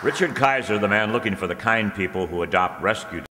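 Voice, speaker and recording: A man's voice: on the low side, a little fast, crowd noise. A man working as a show announcer, reading scripted closing credits. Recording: echoey hall, audience and clear background noise.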